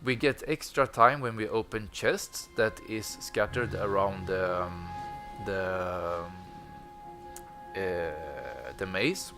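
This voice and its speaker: A man's voice: low voice, slow pace, music in the background. A man streaming a video game, explaining goals in a hesitant, filler-heavy way. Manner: hesitant, many ums and uhs. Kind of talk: casual gameplay commentary.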